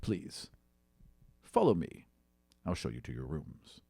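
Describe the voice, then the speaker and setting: A man's voice: extremely low pitch, wildly swooping pitch, slow pace, creaky, dark tone, breathy edge, voice pushed down, heavily acted. A man voicing a courteous, matter-of-fact robed mage who guides newcomers in a tabletop fantasy game.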